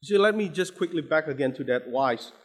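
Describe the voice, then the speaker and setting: A man's voice: fairly high pitch, expressive, a little fast. A man from Indonesia with an Indonesian accent, preaching a sermon with frequent restarts and repeated words, building to emphatic stress. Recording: long hall reverb.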